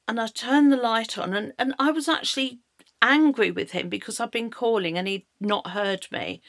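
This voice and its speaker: A middle-aged British woman with a slightly croaky voice, telling her own story in a halting way as she searches for words. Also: medium pitch, a bit bright, no room sound, clean studio sound. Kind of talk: personal storytelling monologue.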